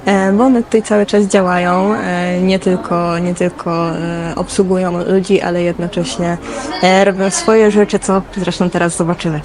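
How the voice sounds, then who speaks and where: A woman's voice: on the low side, little pitch movement, brisk. A woman from Poland with a Polish accent, chatting casually on location as a travel vlogger interviewing locals. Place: busy cafe. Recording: busy cafe chatter behind.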